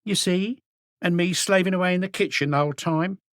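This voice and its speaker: A man's voice: high-pitched, a little fast, fairly bright tone, no room sound, clean studio sound, pitched above the performer's own voice. Man doing a brash, plump café landlady. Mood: indignant. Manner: chattering, scornful, indignant. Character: plump middle-aged woman café owner, brash gossip.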